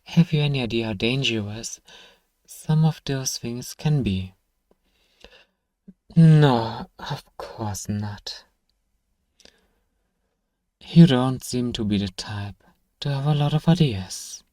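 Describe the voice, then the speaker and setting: A man acts out an irritable fantasy apothecary in an ASMR roleplay, close and dry in sound. He speaks slowly at a middling pitch, with haughty sarcasm and weary condescension. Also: darkish tone, dry recording, lightly acted. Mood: condescending, weary. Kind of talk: scripted ASMR roleplay monologue.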